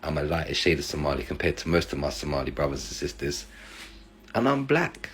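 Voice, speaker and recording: East African man, extremely low voice, earnest tone. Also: big pitch swings, fairly quick, a bit bright. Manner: earnest, encouraging. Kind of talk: casual reaction video.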